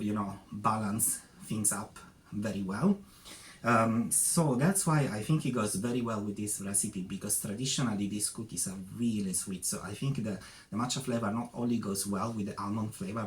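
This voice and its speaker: A man's voice: fairly low pitch, slight background noise, low-quality recording. A man from Sicily with an Italian accent, hosting a casual cooking tutorial and chatting loosely with many fillers. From Sicily, Italy. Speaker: cooking channel host.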